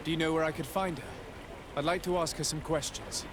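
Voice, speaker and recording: Man playing a courteous, higher-pitched diplomat. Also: steady tone, fairly quick, background noise, natural voice. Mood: polite. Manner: polite, formal. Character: courteous, composed young diplomat nobleman.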